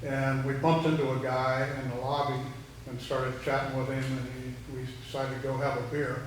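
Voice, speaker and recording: Man, conversational storyteller, little pitch variation, mid-pitched, distant mic, strong echo, faint noise. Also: little pitch movement, normal speed. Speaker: audience member, Air Force veteran. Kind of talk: conversational anecdote.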